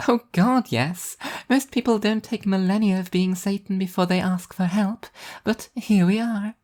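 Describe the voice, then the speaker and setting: A woman's voice: medium pitch, steady tone, moderate pace, darkish tone, pitched above the performer's own voice, natural voice. A woman voicing a dignified, benevolent, self-important female angel of justice, grandly declarative yet conversational, in a story reading. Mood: reassuring.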